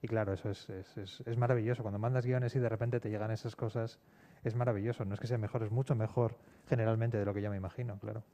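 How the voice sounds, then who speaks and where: A man's voice: lowish voice, steady tone, fast, warm tone. A man with an accent from Spain speaking as a scriptwriter guest in an unscripted panel discussion, informal and often correcting himself. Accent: Spanish (Spain).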